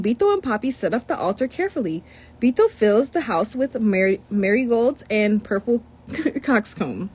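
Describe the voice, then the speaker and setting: Lively woman reading a picture book. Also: fairly high pitch, breathy edge. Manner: reads with lively asides and commentary. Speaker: storybook reader. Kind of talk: children's picture book read-aloud.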